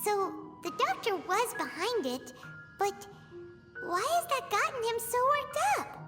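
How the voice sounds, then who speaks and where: A woman voicing a tiny, childlike, naive fairy companion in a video game, with a squeaky, chirpy voice. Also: big pitch swings, a little slow, over music, exaggerated voice. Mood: wary, confused. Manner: squeaky, speaks of herself in third person. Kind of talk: cartoonish video game voice acting.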